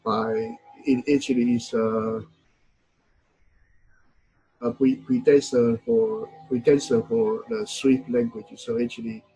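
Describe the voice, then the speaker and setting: Middle-aged male professor, husky, medium pitch, hesitant with lots of "uh". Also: flat delivery, warm tone, soft background music, rough recording. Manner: halting, many fillers and self-corrections. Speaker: university lecturer. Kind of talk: classroom lecture.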